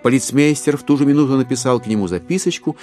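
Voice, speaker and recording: A man narrating a classic satirical tale in a measured, ironic literary manner. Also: medium pitch, a little mellow. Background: music.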